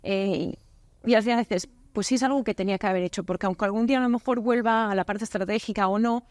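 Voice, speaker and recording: A woman's voice: mid-range voice, quick pace, a little mellow, no echo. A woman from Spain, a business unit director, talking as a podcast guest in a friendly, candid, conversational way in a studio. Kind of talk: casual interview chat.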